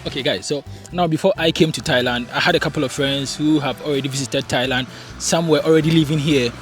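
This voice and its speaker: A man's voice: fairly high pitch, fast, faint music behind. Chatty Ghanaian-born man vlogging. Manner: chatty, animated, asks rhetorical questions, many fillers. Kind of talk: casual vlog chat. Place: home in hot, humid climate.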